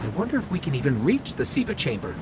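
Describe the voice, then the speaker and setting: A man's voice: medium pitch, wildly swooping pitch, fast, heavy background noise. A man voicing a small, curious robot companion with a wry, explanatory manner in a video game. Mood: wry, analytical. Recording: loud music over the voice.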